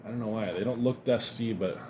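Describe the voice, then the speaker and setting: A man's voice: lowish voice, brisk, background noise. A man chatting casually and rambling as he shows off his comic and card haul, laughing now and then and sounding a bit sniffly. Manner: relaxed, rambling, chuckles often. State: sniffly from dust. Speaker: comic collector vlogger. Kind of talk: casual unboxing chat.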